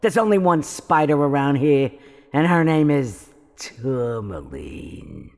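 An actor playing a scornful, protective fairy in a fairytale audio drama, firm and taunting. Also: male voice, a little high, big pitch swings, takes it slowly, darkish tone, exaggerated voice. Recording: long hall reverb.

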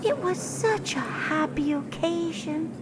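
A woman's voice: very high-pitched, takes it slowly, noisy background. A woman playing a flustered, sentimental good fairy in an audio fairytale, plaintive and exclaiming. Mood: wistful, sorrowful. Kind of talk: audio theatre fairytale acting.

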